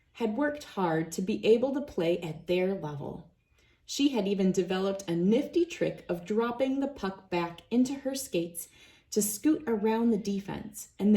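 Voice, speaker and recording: Mellow-voiced woman reading a storybook. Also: medium pitch. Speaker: children's book reader. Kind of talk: read-aloud storybook narration.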